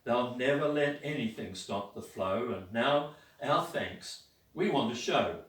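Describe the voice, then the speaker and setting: Man, steady middle voice, slow measured recitation, echoey room, heard from a distance. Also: mid-range voice. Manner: hesitant with fillers when speaking, measured when reciting. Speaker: fellow villager reciting a tribute poem. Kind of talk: reading a rhymed tribute poem at a party. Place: party in a roomy hall. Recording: echoey room from far off, faint background noise.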